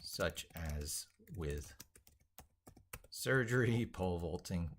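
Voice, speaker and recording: Low-pitched man, slow halting delivery. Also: expressive, a little hiss or hum. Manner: halting, repeating and reworking phrases as he types; emphatic, opinionated. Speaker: tech educator and video creator. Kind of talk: thinking aloud while drafting an opinionated tech piece.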